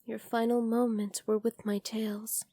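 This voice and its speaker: A woman voicing a devoted, clingy kitsune fox spirit in an ASMR roleplay, speaking softly and intimately straight to the listener. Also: fairly high pitch, level tone, unhurried, no room sound. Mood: tender, wistful. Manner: soft, tender, intimate, addressing the listener directly.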